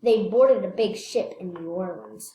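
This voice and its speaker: A woman reading a children's picture book aloud, slightly halting, repeating phrases and adding casual asides. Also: mid-range voice, dark tone. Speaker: read-aloud storyteller. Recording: echoey room.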